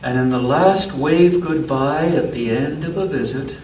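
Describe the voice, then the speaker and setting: A man's voice: a little high, a little mellow. A man reading a picture book aloud to children at a church story time, breaking off for asides and questions about the pictures. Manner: pauses to ask listeners questions about the pictures. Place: roomy church interior. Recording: echoey room, distant mic, background noise.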